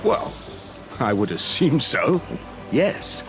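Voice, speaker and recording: A man's voice: medium pitch, very animated, takes it slowly. An actor playing an earnest male ship captain recruiting a crew in a video game cutscene. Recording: orchestral music behind the voice.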